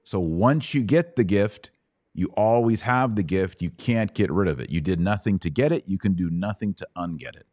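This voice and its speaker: A man's voice: on the low side, expressive, moderate pace, darkish tone, no room sound, clean studio sound. A middle-aged American man hosting a conversational religious question session, explaining step by step and checking understanding with short questions. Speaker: host answering Bible questions.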